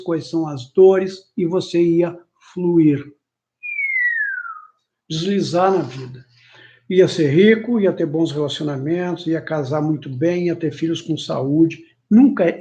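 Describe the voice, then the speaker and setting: A man giving an informal, animated self-development lecture, sometimes mimicking complaints in a higher voice. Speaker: personal development teacher. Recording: muffled lo-fi audio.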